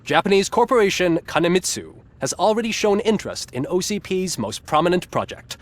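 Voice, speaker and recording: A man's voice: sits high, very animated, brisk. A man playing a glib, satirical TV news anchor in a video game, with a polished, smugly ironic delivery. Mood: glib, upbeat. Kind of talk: scripted satirical newscast narration.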